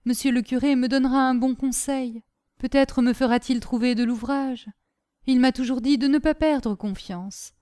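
A woman's voice: sits high, steady tone, moderate pace, mellow, smooth, voice pushed up, close to an everyday voice. A woman voicing a humble, devout young servant in a storybook reading, with a trembling, deferential, earnest delivery. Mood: resigned hope. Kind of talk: audiobook character acting.

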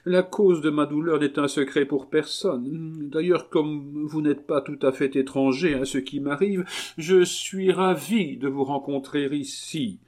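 A man's voice: on the high side, steady tone, a little slow, darkish tone, voice pushed up. A man voicing a haughty, grieving marquise from a novel, plaintive and melodramatic in an aristocratic way. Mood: gracious, sorrowful. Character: haughty, overwrought grieving marquise mourning her little dog.

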